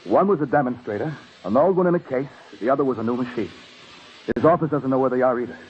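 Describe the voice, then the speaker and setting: An actor playing an intense, anguished police detective with an urgent, clipped manner in an old radio crime drama. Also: male voice, mid-range voice, animated, fast talker, close to an everyday voice. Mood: tense, businesslike. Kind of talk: mid-century radio crime drama acting. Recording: muffled, hissy old radio recording.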